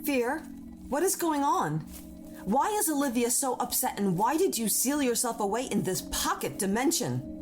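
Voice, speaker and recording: A woman's voice: on the high side, big pitch swings, moderate pace. A woman playing a powerful, devoted moon being, earnest and persuasive, in an audio drama. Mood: concerned, questioning. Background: music under the voice.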